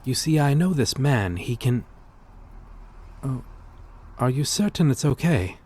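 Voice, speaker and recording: A gentle, old-souled vampire acted by a man speaks in a breathy, husky voice at an unhurried pace. He is formal and polite, pausing hesitantly, in the soft intimate manner of an ASMR roleplay. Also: mid-range voice, sing-song. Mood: courteous, fond. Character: gentle, courteous old-souled male vampire, lonely former fisherman. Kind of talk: ASMR roleplay audio drama.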